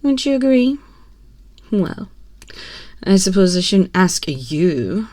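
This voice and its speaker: A woman's voice: mid-range voice, big pitch swings, slow pace, a little mellow, no room sound. A woman playing a haughty, mocking empress in an ASMR roleplay, with imperious, condescending and theatrically formal delivery, close on a binaural microphone. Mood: condescending, composed. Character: haughty, cruel, mocking empress toying with a prisoner. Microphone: close binaural microphone, dry.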